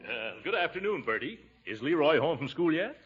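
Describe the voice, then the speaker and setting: A man playing a pompous, easily flustered middle-aged uncle in 1940s radio comedy style, with a husky voice. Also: on the high side, big pitch swings, brisk, soft background music. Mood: worried. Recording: dull 1940s radio broadcast recording.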